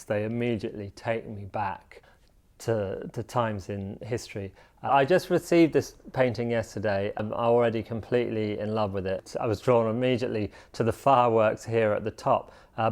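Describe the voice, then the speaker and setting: A man gives a promotional interview as an author. His voice is on the low side for a man. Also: darkish tone.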